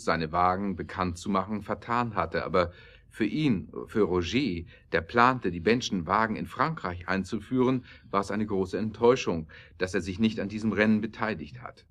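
A man's voice: on the low side, a bit bright. A man reading scripted narration for a German audio drama.

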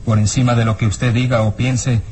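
An actor playing a composed, dutiful police inspector in a vintage radio drama, formal and insistent in manner. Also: male voice, medium pitch, level tone, normal speed, a little mellow, close to an everyday voice. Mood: firm, unyielding.

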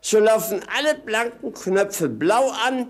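A cheeky, idle clown of a companion in a 1950s radio play. His voice is on the high side for a man. He draws his words out slowly in a theatrical singsong, like a mock philosopher. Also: expressive. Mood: gleeful.

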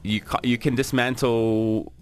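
A man's voice: mid-range voice, steady tone, a little slow, clean tone, faint music behind. A young man from South Africa, a guest musician chatting in a radio interview, hesitant with many fillers and restarts.

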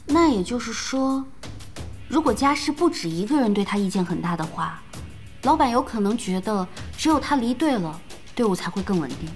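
An actress playing a calm, perceptive young woman in an audio drama, speaking in a measured, thoughtful way. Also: fairly high pitch. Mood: pensive. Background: background music.

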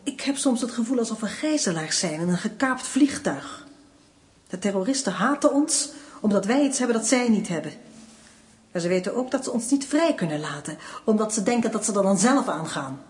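An actress playing a defiant, dryly ironic wife facing an official in a radio drama, restrained and pointed. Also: female voice, mid-range voice, natural voice.

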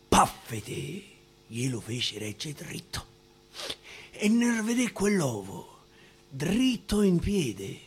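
Roman male actor, high husky voice, unhurried theatrical storytelling in verse. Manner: theatrical storyteller, dramatic pauses. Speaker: stage actor. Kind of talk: dialect verse monologue performance.

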